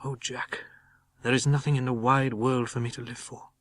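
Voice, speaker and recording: A man voicing a grieving, courteous young gentleman in a dramatic audiobook, hushed and husky. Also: medium pitch, level tone, normal speed, dry recording, lightly acted. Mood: despairing grief. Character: grieving, broken young gentleman, polite and sorrowful.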